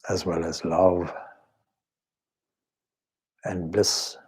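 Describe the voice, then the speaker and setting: A man with a husky, breathy voice leads a guided meditation as a spiritual teacher, speaking in measured, reverent phrases with pauses. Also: low voice, level tone, a little mellow. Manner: measured, reverent, pausing between short phrases. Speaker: swami leading a guided meditation. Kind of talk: slow spoken guided meditation.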